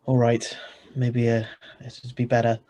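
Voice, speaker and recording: A man's voice: medium pitch, completely flat delivery, measured pace, warm tone, close to an everyday voice. A man playing a stubborn, greedy, ordinary husband in a radio thriller reading, with a wry, conversational, bossy manner. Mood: firm. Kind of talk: radio thriller narration and dialogue.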